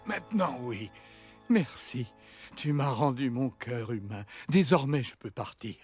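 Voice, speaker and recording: An actor playing a grateful, gentle puppeteer in a cartoon, speaking solemnly as if at peace. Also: male voice, medium pitch, expressive, unhurried, husky, faint music behind. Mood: solemn gratitude. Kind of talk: French cartoon dub acting.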